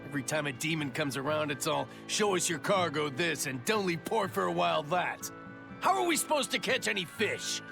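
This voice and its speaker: Gravelly, high-voiced grumbling sailor. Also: male voice, expressive, fairly quick, music in the background. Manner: folksy, exclamatory. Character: grumbling excitable working-class sailor. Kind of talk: video game voice acting.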